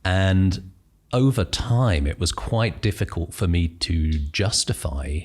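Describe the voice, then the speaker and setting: A middle-aged man from Northern Ireland with a British accent, a podcast guest who speaks thoughtfully with frequent pauses and restarts. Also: deep voice, animated, a little mellow. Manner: thoughtful, hesitant, many ums and restarts. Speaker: guest, impact investor. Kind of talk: podcast interview.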